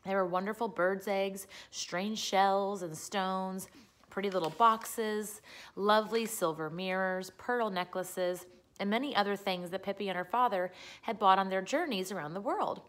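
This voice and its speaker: A woman narrates a children's book. Her voice is of middle pitch. She reads aloud in the style of a storyteller. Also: unhurried, fairly bright tone.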